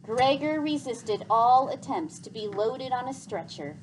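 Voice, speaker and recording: A woman reads a children's adventure novel with very lively, swooping intonation. Her delivery is slow, deliberate and dramatic, on a slightly high voice, with faint noise behind it. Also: rough recording. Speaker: read-aloud narrator of a children's novel. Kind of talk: expressive scripted storybook narration.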